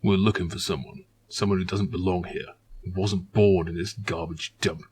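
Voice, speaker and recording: A man voicing a cold, ruthless male military commander, husky, curt and commanding. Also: sits low, fairly even intonation, moderate pace, darkish tone. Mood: cold menace.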